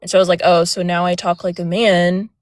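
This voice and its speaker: A young woman with a soft, fairly low voice recounts her experience in a hesitant, filler-heavy way. The sound is dry and close, with no room around it. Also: level tone, fairly quick, no room sound. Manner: hesitant, many fillers and restarts. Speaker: guest speaker on a live panel. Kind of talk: casual personal account. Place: outdoors near a road.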